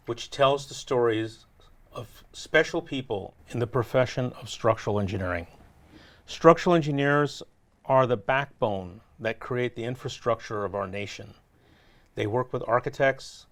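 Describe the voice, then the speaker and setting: A man hosting a documentary series, addressing viewers directly in scripted narration. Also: mid-range voice. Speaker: host and interviewer.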